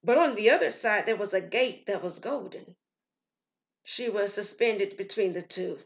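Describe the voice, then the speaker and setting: A woman reading a dramatic scripted story as narrator, solemn and deliberate. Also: medium pitch, lo-fi sound. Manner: solemn, deliberate, acts out dialogue. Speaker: storyteller and writer-performer.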